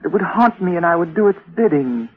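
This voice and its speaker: A woman's voice: on the low side, expressive, fairly quick, breathy edge. An actress playing a haunted, frightened young woman in anguished, breathless 1940s radio drama style. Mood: troubled. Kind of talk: 1940s radio drama acting, with first-person narration. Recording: tinny band-limited old radio recording, music.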